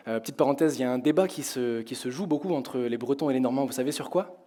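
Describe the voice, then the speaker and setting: A young man from France preaching to his congregation in a church hall, his voice hoarse, talking in a conversational way and asking the congregation questions. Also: mid-range voice, quick pace, mellow. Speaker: pastor. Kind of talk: sermon. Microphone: headset with in-ear monitor.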